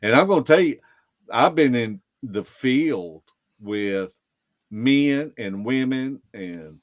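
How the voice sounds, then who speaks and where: Slow-talking man with a drawl. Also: medium pitch, expressive. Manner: folksy, unhurried, repeats and circles back. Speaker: podcast guest, field investigator. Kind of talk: casual storytelling.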